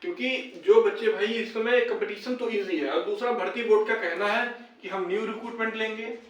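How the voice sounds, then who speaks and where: Casting: young man, high voice, insistent explainer, recorded at a distance from the mic. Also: fairly quick, lo-fi sound. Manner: repetitive, explanatory, urging. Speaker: video host addressing fellow job candidates. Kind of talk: informal update and appeal to viewers. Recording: very echoey room, distant microphone.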